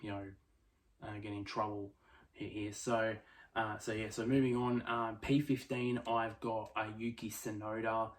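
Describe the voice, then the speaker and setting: A man giving casual solo motorsport predictions, speaking quietly late at night, with many fillers and restarts. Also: fairly low pitch, flat delivery, unhurried. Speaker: F1 prediction vlogger.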